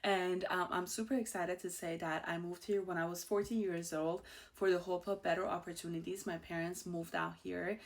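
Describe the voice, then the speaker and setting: An outgoing woman in her thirties with an Iranian accent hosts her own show in a loose, chatty monologue. Her voice sits a little on the low side for a woman, and she talks with a bubbly warmth, laughing easily between thoughts. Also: fairly even intonation, moderate pace. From Iran. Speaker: host. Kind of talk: casual personal monologue.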